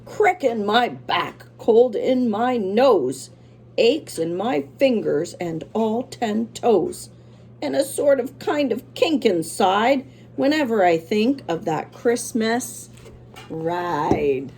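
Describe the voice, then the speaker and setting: A man's voice: sits high, very animated, slow, a little mellow, slight background noise, exaggerated voice. Woman reading as weary, achy old Santa Claus. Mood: weary, complaining.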